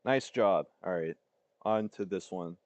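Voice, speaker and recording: A man's voice: low-pitched, unhurried, lo-fi sound, music in the background. A man giving casual, off-the-cuff reaction commentary as a livestreamer. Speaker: livestreamer reacting to fan-made videos.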